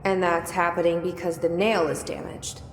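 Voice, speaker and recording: An actress playing a young agency director in a video game, terse and probing, with an understated, dry delivery. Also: female voice, lowish voice, level tone, moderate pace, close to an everyday voice. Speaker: game protagonist. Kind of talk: video game dialogue acting. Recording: echoey hall reverb with noisy game ambience.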